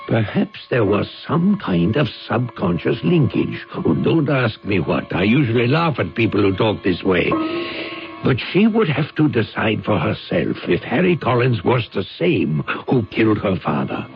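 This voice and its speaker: An actor playing a suave older count turned amateur sleuth in a radio drama, courtly, theatrical and teasing. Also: male voice, on the low side, sing-song, normal speed, creaky. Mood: musing. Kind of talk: 1970s radio drama acting and narration. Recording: dull old radio broadcast with music.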